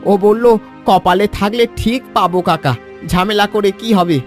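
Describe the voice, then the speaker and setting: A man playing a loyal, protective village uncle in an animated children's story, blunt and aggrieved in manner. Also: sits high, a little slow, soft background music. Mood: sorrowful.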